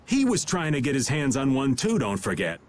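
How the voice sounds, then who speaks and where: Roguish middle-aged guild veteran, lively swooping delivery, breezy and teasing, video game dub, faint noise behind. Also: male voice, medium pitch, a little fast. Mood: breezy, wry. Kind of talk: animated video game dubbing.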